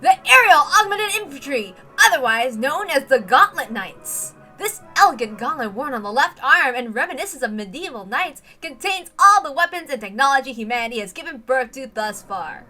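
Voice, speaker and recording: A woman's voice: high-pitched, very animated, normal speed, hardly any pauses, sharp tone, faint music behind, heavily acted. A woman voicing a rousing military promotional video announcer in a grandiose, proclaiming manner. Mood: proud, rousing.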